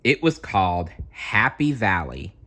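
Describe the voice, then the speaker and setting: A man reading a children's storybook aloud as an expressive storyteller, theatrical and deliberate in his delivery. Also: lowish voice.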